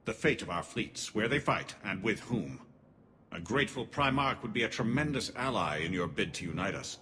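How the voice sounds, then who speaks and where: Low-voiced, measured alien councilor. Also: male voice, expressive, bright, forceful tone, a little hiss or hum. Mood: pragmatic. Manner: formal, measured, diplomatic. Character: measured, pragmatic male alien councilor, formal and guarded. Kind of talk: dramatic video game acting. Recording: reverberant hall, faint ambience.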